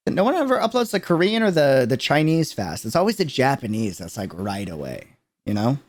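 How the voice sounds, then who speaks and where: A man's voice: mid-range voice, very animated, a little fast, darkish tone. A man giving casual, slangy livestream reaction commentary, thinking aloud as he goes. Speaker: reaction streamer.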